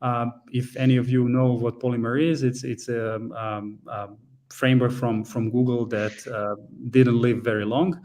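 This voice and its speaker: A man from Serbia giving an online meetup talk as a guest engineer, pausing often with fillers and restarting his sentences. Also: mid-range voice, flat delivery, a little mellow. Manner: hesitant, many fillers and restarts. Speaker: guest speaker, frontend engineer. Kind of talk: conference talk presentation.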